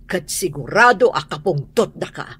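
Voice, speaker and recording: Woman doing a bossy rich mom, husky voice swinging up and down, scolds hard. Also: fairly low pitch, lively intonation, normal speed, fairly bright tone, full character voice. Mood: warning. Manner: commanding, scolding. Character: stern wealthy mother, proud and controlling. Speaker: Madam Carla, employer and mother. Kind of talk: radio drama acting.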